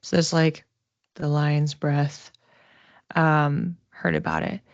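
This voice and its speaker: A woman giving a casual live psychic reading, speaking conversationally and tentatively, thinking aloud with pauses as she explains. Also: low-pitched, big pitch swings, dry recording, muffled recording.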